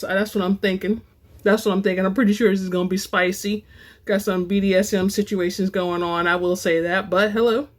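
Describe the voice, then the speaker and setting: Expressive woman hosting a book reading. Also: mid-range voice. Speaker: host and reader of book samples. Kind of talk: casual chat and dramatic book narration.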